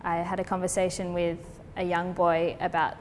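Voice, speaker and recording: Low-voiced woman in a reverberant gallery. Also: normal speed. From Colombia. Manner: thoughtful, steady, with frequent fillers. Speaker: artist. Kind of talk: explaining her artwork in an interview. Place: gallery space. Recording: long reverb with faint background noise.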